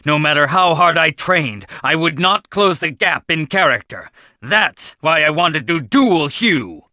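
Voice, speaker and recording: A man's voice: on the high side, sharp tone, no echo, clean studio sound. A man voicing an earnest, bitter young rival apprentice in a video game, with an emphatic, aggrieved way of speaking. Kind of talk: dramatic video game voice acting.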